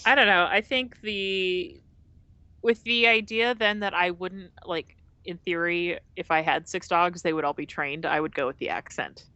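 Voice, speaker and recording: A woman's voice: fairly low pitch, steady tone, normal speed, pushed and bright, clear, resonant tone, dry recording, lo-fi sound. A woman casually chatting on a game stream, rambling with lots of fillers and giggles. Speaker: streamer and co-host.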